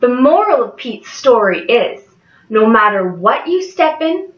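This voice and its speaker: A young adult woman reading a picture book aloud to children in an expressive way. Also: fairly high pitch, measured pace, roomy sound, muffled recording. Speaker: early childhood education student reading to children. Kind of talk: picture-book read-aloud with sing-along.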